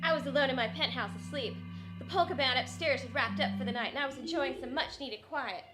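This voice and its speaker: Female, melodramatic 1940s heroine acted, high voice, roomy sound, loud music under. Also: fast talker, natural voice. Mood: breathlessly dramatic. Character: melodramatic frightened 1940s heroine narrating her nightmare. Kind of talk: old-time radio drama parody.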